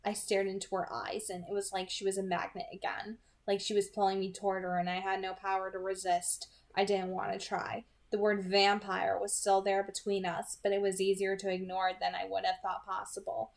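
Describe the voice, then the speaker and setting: A woman's voice: medium pitch, completely flat delivery, a little fast, creaky, hardly any pauses. A woman narrating a novel aloud as an amateur audiobook reader.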